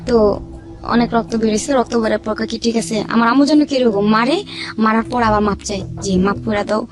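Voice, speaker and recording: High-pitched young woman telling her story. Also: fairly even intonation, moderate pace. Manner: colloquial, repeats phrases as she narrates. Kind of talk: personal confessional storytelling. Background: background music.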